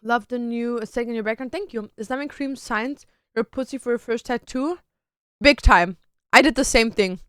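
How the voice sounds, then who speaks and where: Bright-voiced chatty young woman streamer. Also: a little high, normal speed, smooth, no echo, studio quality. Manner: chatty, loose, peppered with "like" and "dude". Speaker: streamer and tattoo artist. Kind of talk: casual chat answering viewer questions.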